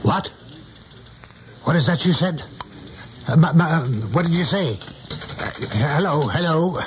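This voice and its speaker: An anxious, rattled man speaks slowly in a 1970s radio play. The old recording has a faint layer of noise. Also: a little high, lively intonation. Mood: flustered. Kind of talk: 1970s radio drama acting.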